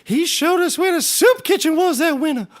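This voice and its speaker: A very high, squeaky man from the streets in a scripted comedy. He pleads and rambles in folksy slang, forever flustered and protective of his friend. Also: lively intonation, moderate pace. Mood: distressed pleading.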